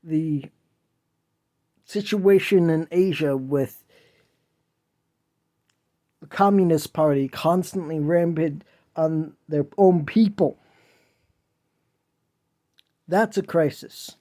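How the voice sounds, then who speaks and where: A man's voice: a little high, takes it slowly, long pauses, dark tone. A man hosting a podcast, delivering an impassioned solo monologue in a whiny voice, earnest and preachy as he pleads with listeners. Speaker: podcast host.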